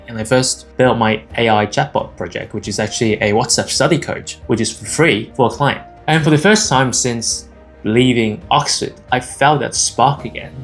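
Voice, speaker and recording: A man's voice: medium pitch, fairly bright tone. A man in his twenties from Hong Kong, telling his personal story to camera in a candid, conversational and informal way. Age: 20s. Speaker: video creator and AI agency founder. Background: acoustic guitar music.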